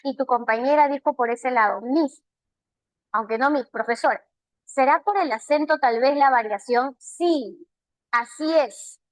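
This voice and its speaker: A woman with a Peruvian Spanish accent leads a live online lesson, her voice high and bright. She speaks warmly, keeps asking "right?" to check understanding and praises students by name, and the sound is close and dry with no room echo. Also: a little slow. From Peru. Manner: asks many rhetorical check questions. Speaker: language teacher. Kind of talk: live online class, answering student chat questions.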